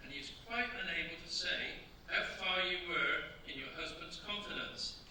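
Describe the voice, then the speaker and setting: A man's voice: fairly high pitch, pushed and bright. A man playing a stern, relentless French official in a staged radio play, speaking formally and accusingly. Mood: stern, probing. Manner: formal, accusatory, deliberate phrasing. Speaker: amateur radio play actor. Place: live theatre stage. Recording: echoey stage, distant mic, faint noise.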